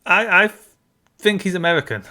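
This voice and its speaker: A man's voice: sits high, moderate pace, sharp tone. A man co-hosting a podcast, chiming in with casual remarks in a film discussion. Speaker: podcast co-host.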